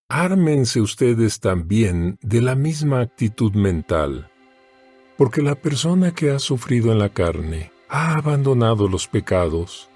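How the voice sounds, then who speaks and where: Slow, expressive male scripture narrator over music. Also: medium pitch, breathy edge. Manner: solemn, measured, deliberate phrasing. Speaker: Bible audio narrator. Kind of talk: dramatized scripted scripture reading. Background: background music.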